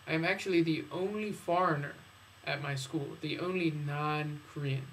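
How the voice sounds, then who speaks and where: A man's voice: fairly high pitch, normal speed, fairly bright tone, slight background noise, rough recording. A man who is a native English speaker and teacher, formally introducing his classes in careful, prepared phrasing. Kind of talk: video introduction of own classes, prepared talk.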